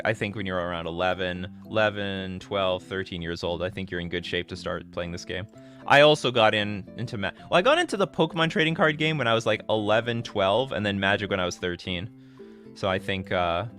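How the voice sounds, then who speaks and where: A man in his thirties chats on his livestream, jumping between thoughts and restarting sentences as he answers viewers. His voice is bright and sharp, with soft music playing underneath. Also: on the low side. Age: 30s. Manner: rambling, excitable, restarts sentences. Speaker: livestream host. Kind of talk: casual live-stream chat answering viewer questions.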